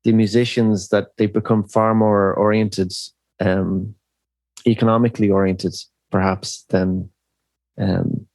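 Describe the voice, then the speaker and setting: A middle-aged Irish man with a very deep voice talks slowly through his thoughts, stopping and restarting often with fillers. The sound is dry and close, with no room around it. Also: flat delivery, darkish tone, no echo. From Ireland. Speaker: musician guest. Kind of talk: reflective podcast interview.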